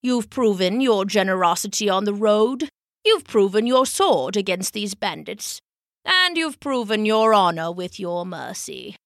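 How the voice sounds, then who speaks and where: A woman's voice: mid-range voice, expressive, unhurried, no echo, clean studio sound. A woman voicing a wise old male druid wizard in a storybook, solemn and formal. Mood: kindly, stately. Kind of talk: storybook character acting.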